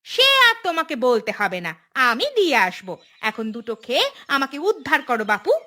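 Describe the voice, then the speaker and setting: A woman voicing a sharp-tongued but caring young village wife in a cartoon, homely and given to scolding. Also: sits high, very animated, a little fast, exaggerated voice. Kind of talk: cartoon voice acting.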